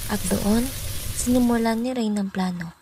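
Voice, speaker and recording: A woman's voice: a little high, moderate pace, background noise. A young woman reading scripted narration for a story as the narrator. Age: young adult.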